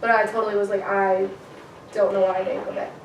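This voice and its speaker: A woman's voice: medium pitch, level tone, fast talker, a little hiss or hum. A young woman from Illinois chatting casually on a club podcast, giggly and full of "like" fillers. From Illinois, USA. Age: young adult. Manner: giggly, filler-heavy "like" talk. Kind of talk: casual chat. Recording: echoey room from a distant mic.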